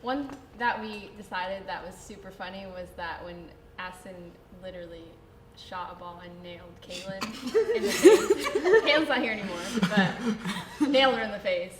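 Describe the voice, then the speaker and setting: A woman's voice: medium pitch, moderate pace, crowd noise. A young woman from Florida, a college soccer player, telling a funny story casually. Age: young adult. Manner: animated, laughing while telling. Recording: echoey room with people around.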